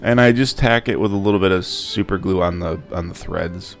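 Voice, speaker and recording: Low-voiced man casually explaining a craft build. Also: brisk. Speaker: DIY prop maker and channel host. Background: music.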